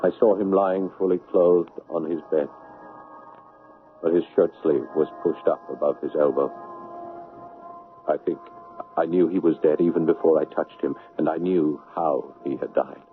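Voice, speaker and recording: An actor playing a grief-stricken, bitter son in a 1970s radio drama, halting and anguished as he repeats himself. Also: rumbling low, steady tone, faint music behind. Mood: somber confession. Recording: muffled, noisy 1970s radio broadcast.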